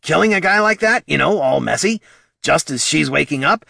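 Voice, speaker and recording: A man's voice: a little high, expressive, moderate pace, gravelly, sharp tone, no room sound, studio quality, voice pushed up, heavily acted. A man voicing a small, scrappy male kobold who rambles nervously and talks himself in circles. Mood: coaxing.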